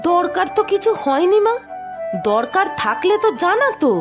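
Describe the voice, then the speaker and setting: A woman voicing a shrill quarrelsome village aunt. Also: very animated, moderate pace, music in the background. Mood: sneering. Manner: shrill, scolding, sneering. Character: spiteful quarrelsome middle-aged village aunt. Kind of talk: cartoon voice acting.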